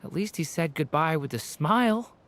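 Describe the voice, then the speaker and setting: An actor voicing a gentle, earnest young male artificial being in a video game, sincere and a little hesitant. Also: mid-range voice, lively intonation, normal speed, close to an everyday voice. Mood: earnest, careful. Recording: game music behind.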